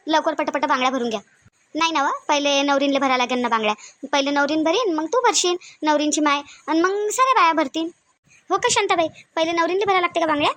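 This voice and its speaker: A woman's voice: high-pitched, a bit bright, no echo. A woman voicing chatty, bossy village women in a Marathi cartoon comedy, with a Varhadi accent and a colloquial, nagging manner. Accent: Varhadi Marathi of Vidarbha.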